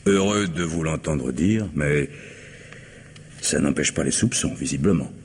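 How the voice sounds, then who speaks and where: An actor playing a guarded, formal husband under suspicion in a French radio mystery, speaking with measured courtesy. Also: male voice, very deep voice, darkish tone. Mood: weary, defensive. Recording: noisy old radio drama recording.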